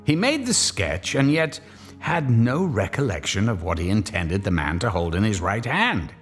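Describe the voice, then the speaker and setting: A man narrating a radio drama with dramatic storytelling. His voice sits low but rises and falls very widely in pitch. Faint music plays beneath him. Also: sing-song, moderate pace.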